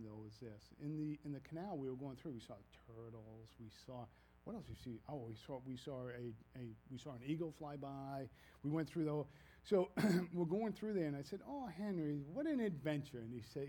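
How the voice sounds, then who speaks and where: A man's voice: on the high side, mellow. A man in his fifties from Maine with a Maine accent, telling a rambling, folksy story as a preacher in a casual sermon. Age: 50s. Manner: rambling, folksy, self-deprecating, frequent restarts.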